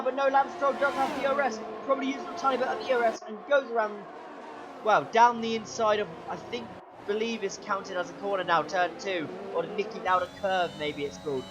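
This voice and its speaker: Guy with a high voice and sore throat calling his racing game, excited, faint engine hum behind. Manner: excitable play-by-play, pitch jumps when overtaking. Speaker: gaming channel host.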